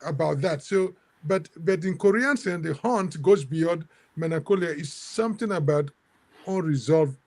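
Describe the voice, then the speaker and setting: A man moderating a book discussion in an academic way, halting and repeating words as he builds his point. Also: on the high side, darkish tone.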